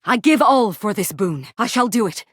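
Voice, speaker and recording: A woman voicing a proud, fervent warrior woman in a video game, theatrical and declamatory, in archaic high-flown speech. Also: expressive, normal speed, a bit bright, breathy edge, no room sound, studio quality. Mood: astonished, hopeful. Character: proud, fervent female warrior, grandiose and lovestruck. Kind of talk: theatrical game character acting in archaic English.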